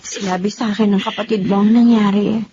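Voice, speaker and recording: A woman playing a pitying friend who gently admonishes, grave and sorrowful, in radio drama style. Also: mid-range voice, fairly even intonation, a little fast, noisy background, close to an everyday voice. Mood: sorrowful reproach. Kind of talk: melodramatic radio drama acting. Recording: muffled, music clearly behind.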